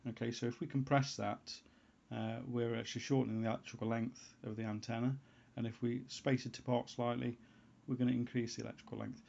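A man giving an unscripted how-to voice-over as a hobbyist presenter, in a halting way, as if thinking aloud. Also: medium pitch, little pitch movement, vocal fry, a little mellow. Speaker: hobbyist presenter of a homebrew antenna tutorial. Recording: dull, lo-fi sound.

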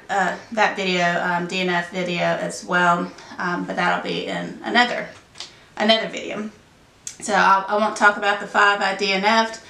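A woman in her thirties talks through her month of reading while fighting a sinus cold. Her voice is gravelly with a bright edge, and she wanders and corrects herself as she goes. The sound is roomy, picked up from a camera a little way off. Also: medium pitch. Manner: rambling, many ums, self-correcting. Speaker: book vlogger. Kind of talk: casual book wrap-up chat. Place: home room with bookshelves behind. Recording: roomy echo, mic a bit distant.

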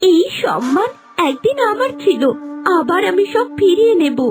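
A woman's voice: extremely high pitch, big pitch swings, moderate pace, faint music behind. A woman voicing a jealous, scheming young sister in a fairy-tale cartoon, with a dramatic, intense delivery. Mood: vengeful. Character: scheming, jealous young sister plotting revenge, feigning sweetness.

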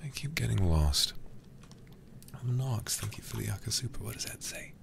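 A man streaming a game ASMR-style into a close microphone, speaking softly and intimately with frequent whispering, in an affectionate, languid manner. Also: fairly low pitch, expressive, moderate pace, background noise. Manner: breathy, often whispered, intimate; affectionate, doting toward viewers. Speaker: livestreamer.

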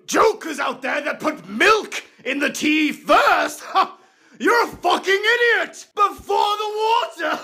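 A man's voice: extremely high pitch, lively intonation, takes it slowly, pushed and bright, shouting, voice pushed up, exaggerated voice. A man playing a pompous, crude rich Englishman with a mustache and monocle, in a comedy sketch video.

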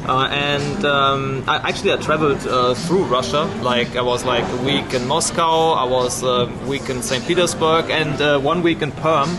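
A man's voice: mid-range voice, steady tone, normal speed, hardly any pauses. A man in his thirties with a German accent, chatting casually in a rambling way with many restarts, filmed on a handheld camera in a pub. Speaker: vlogger. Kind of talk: casual chat. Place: busy pub, indoors. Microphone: action camera held at arm's length. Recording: loud background music. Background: pub music.

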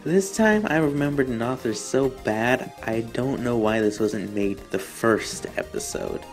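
Sarcastic male fan fiction reviewer, medium pitch. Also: a little slow, faint music behind. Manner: mock-indignant, deadpan sarcasm. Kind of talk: sarcastic commentary and reading aloud.

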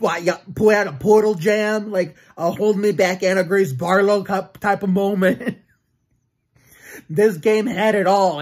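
A man in his twenties in a casual home vlog, rambling and swearing about his team, with many restarts and fillers. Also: high voice. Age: 20s. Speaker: sports fan vlogger. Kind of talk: casual sports vlog rant. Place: small room at home with bookshelves, indoors.

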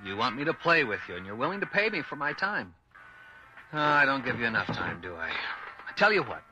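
A man's voice: medium pitch, sing-song, music in the background, close to an everyday voice, low-quality recording. Man playing a wry dad with swooping intonation. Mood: teasing, softening. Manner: dry, mock-pompous, deliberate. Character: wry, teasing, unhurried dad of a young girl. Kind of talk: radio drama acting.

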